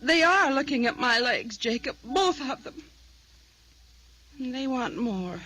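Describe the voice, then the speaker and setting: Desperate woman, high-pitched, bright and tense, bitter, pleading, old radio drama. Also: sing-song, measured pace, heavily acted, poor audio. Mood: distressed. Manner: emotional, pleading. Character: bitter, desperate woman torn between love and money. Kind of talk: 1950s radio drama acting.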